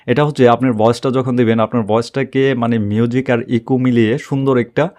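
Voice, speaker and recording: A man giving an unscripted, step-by-step app tutorial in a halting, repetitive way. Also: mid-range voice, steady tone, a little mellow. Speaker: tech tutorial host.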